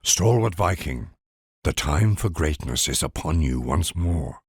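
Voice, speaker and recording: A man's voice: very deep voice, lively intonation, measured pace, husky, dry recording, clean studio sound. A man delivering scripted narration for a game in a grand, solemn, declamatory manner.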